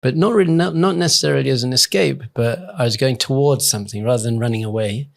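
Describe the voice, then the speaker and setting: A man's voice: mid-range voice, fast talker, creaky. A man speaking as an interview guest, reflective and earnest in an informal, conversational way. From England. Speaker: rabbi and podcast guest.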